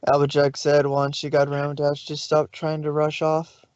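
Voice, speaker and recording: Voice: mid-range voice, completely flat delivery, normal speed, a little mellow, dry recording. Someone narrating fanfic casually, trips on words a bit, dry n slightly lo-fi. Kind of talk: narrating fan fiction aloud. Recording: slightly dull, lo-fi sound.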